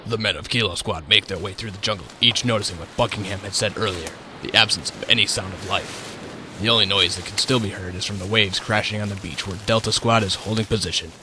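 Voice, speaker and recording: Low-voiced man narrating, noisy recording. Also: quick pace. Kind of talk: scripted radio drama narration.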